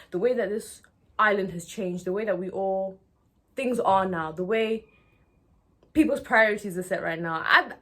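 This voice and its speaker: A young woman in her twenties from Nigeria, speaking candidly and expressively to her viewers in a conversational vlog style. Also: fairly low pitch. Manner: conversational and expressive, often checking in with "you know". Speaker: video blogger. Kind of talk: candid personal talk to viewers.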